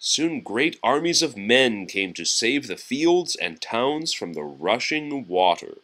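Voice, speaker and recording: A man reading a children's storybook aloud expressively, pausing to chat and answer questions from young listeners. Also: medium pitch, big pitch swings, fairly bright tone.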